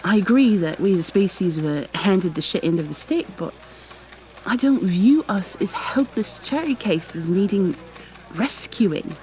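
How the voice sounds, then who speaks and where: A woman's voice: mid-range voice, lively intonation, measured pace, a little mellow, natural voice, rough recording. A woman playing a proud, exasperated goo girl special agent with prim, formal wording in an ASMR roleplay. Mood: weary, earnest. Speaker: voice actor in an audio roleplay.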